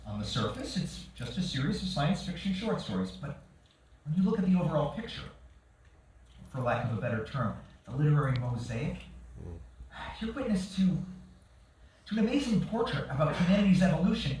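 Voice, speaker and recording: Male actor as earnest, argumentative writer for stage comedy; slightly high, animated voice, distant roomy recording with faint noise. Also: fairly quick. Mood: indignant. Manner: argumentative, theatrical. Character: earnest, defensive male genre-fiction writer on a date. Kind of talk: staged play reading. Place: indoor theatre stage, far from the camera. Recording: echoey theatre, distant camera, faint noise.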